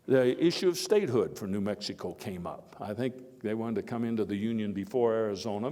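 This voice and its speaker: A man's voice: fairly low pitch, mellow. Man playing a folksy old-time statesman in an echoey hall. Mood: earnest. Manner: folksy, halting, with oratorical emphasis and wry asides. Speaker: historical reenactor. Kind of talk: live first-person historical monologue, speech to an audience. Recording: echoey hall with long reverb.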